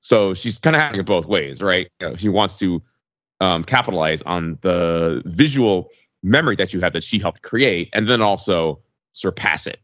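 A man's voice: low voice, expressive, moderate pace, fairly bright tone, no room sound, dull audio. A man co-hosting a podcast, talking casually and discursively, with many small conversational hedging phrases. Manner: discursive, peppered with "like" and "you know". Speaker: podcast co-host. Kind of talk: casual chat.

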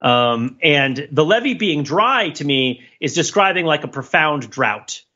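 Bright-voiced man, rambling podcast host. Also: fairly high pitch, animated, a little slow, muffled recording. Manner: rambling, playfully analytical, thinking aloud. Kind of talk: casual podcast discussion.

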